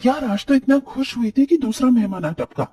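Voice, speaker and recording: Man putting on an exasperated, higher-pitched young daughter-in-law. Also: lively intonation, normal speed, warm tone, far from the mic. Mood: fed up. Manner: complaining, indignant.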